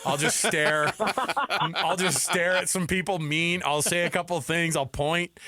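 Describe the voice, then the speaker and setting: A man's voice: on the high side, unhurried, pushed and bright. A man hosting a sports talk radio show, chatting casually and riffing jokingly in a rambling way.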